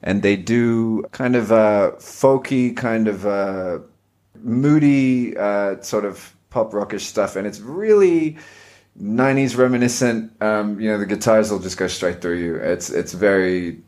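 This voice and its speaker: A man with an Australian accent, co-hosting a podcast in relaxed, rambling casual chat. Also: on the low side, measured pace. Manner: relaxed, self-deprecating, rambling. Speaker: podcast host.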